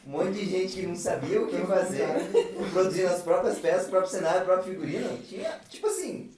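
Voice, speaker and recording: A man's voice: high voice, lively intonation, fast, a crowd in the background. A man from a theatre group chatting informally and with slang on a podcast. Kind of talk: casual podcast group chat. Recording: very echoey room, distant mic, other voices.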